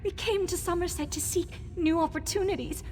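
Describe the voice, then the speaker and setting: A frightened captive, high-voiced and anxious, speaks as in scripted fantasy game dialogue. Her pleading delivery rings in a long reverb with faint noise behind it. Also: fairly even intonation, a little fast, a little mellow. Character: frightened young female captive, newly arrived immigrant, pleading.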